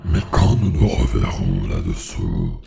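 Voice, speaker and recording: A man playing the devil in a comic audio drama, a grandiose, menacing but easily exasperated lord of darkness with a gravelly, whispery growl. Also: a bass voice, sing-song, unhurried, dark tone, reverberant, full character voice. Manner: solemn pronouncements slipping into casual irritation.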